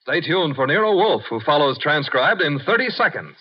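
A man's voice: a little high, sing-song, normal speed, husky. An American man announcing and narrating in a 1950s network radio style. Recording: dull vintage radio broadcast recording.

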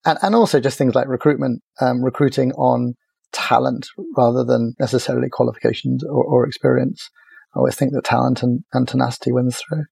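A middle-aged man, chatting as a podcast guest in a relaxed, halting way full of restarts and fillers. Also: mid-range voice, gravelly, husky, no room sound. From Ireland. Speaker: podcast guest, agency founder. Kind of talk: casual interview chat.

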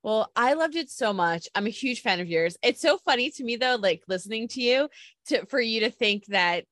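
A woman's voice: on the high side, expressive, moderate pace, fairly bright tone, no echo, studio quality. A woman interviewer chatting casually in an informal, effusive way.

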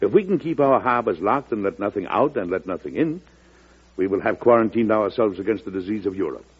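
A resolute, idealistic American president in a classic radio play. His husky voice is courteous and measured, with oratorical swings of pitch. The old recording sounds dull. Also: lively intonation. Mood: pleased, resolute. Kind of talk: old-time radio drama acting.

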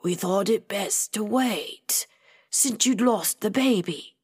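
A woman voicing a weary, protective, matter-of-fact woman of the house in an audiobook, guarded and brisk in manner. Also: medium pitch, breathy edge. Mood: concerned.

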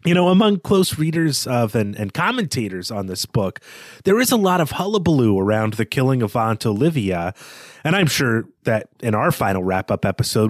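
Guy cohosting a book podcast, analytical and bookish, average pitch, really dry sound. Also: no echo. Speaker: podcast co-host. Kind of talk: literary analysis discussion between co-hosts.

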